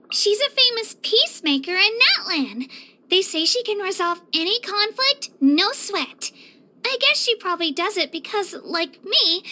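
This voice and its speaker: A woman's voice: very high-pitched, exaggerated voice. A woman voicing an earnest, sweet, self-doubting young girl hero in an anime-style fantasy video game. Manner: earnest, youthful, gentle.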